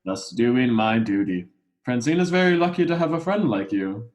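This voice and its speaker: A man acting a formal, ponderous fish judge who deliberates in a student radio play. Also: medium pitch, expressive, darkish tone, lightly acted. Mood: gracious. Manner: formal, ponderous, hesitant hums.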